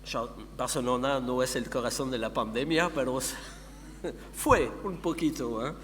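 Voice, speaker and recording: A man's voice: mid-range voice, a bit bright. Quebec French-accented man presenting in an echoey hall. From Montreal, Canada. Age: middle-aged. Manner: halting, searching for words in a second language. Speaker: conference speaker, company founder and researcher. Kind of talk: conference presentation. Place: conference hall. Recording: echoey hall with long reverb, background hum.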